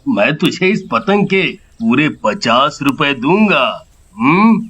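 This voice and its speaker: A man voicing a coaxing village uncle in a cartoon story, deliberate in manner. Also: fairly high pitch, very animated, fairly bright tone, breathy edge, muffled recording, exaggerated voice. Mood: admiring, coaxing.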